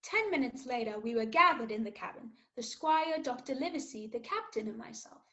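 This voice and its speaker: A woman's voice: fairly high pitch, expressive, brisk, pushed and bright, muffled recording. A woman playing an earnest young cabin boy who narrates his sea tale in a scripted radio play. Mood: earnest, storytelling.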